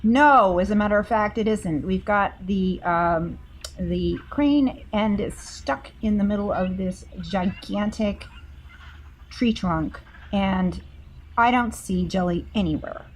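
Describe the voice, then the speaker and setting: A woman's voice: mid-range voice, slow, slight background noise, poor audio. A woman playing at a tabletop game who gives brief, hesitant answers and sometimes speaks in character. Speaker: player. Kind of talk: casual tabletop play, with some in-character lines.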